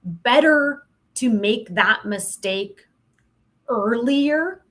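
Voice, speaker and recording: A woman's voice: mid-range voice, a bit bright. A woman speaking as a podcast guest in a casual interview, giving candid opinions with emphasis. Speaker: podcast guest, sales professional.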